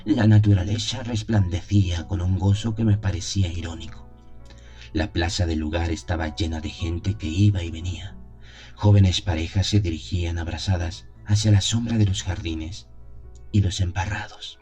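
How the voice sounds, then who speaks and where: A very deep, mellow voice over music, a man acting as a lovesick young seminarian in a gothic radio play. He recounts his anguish in a solemn, brooding way, with theatrical literary phrasing. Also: fairly even intonation, moderate pace, natural voice. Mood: bitter despair.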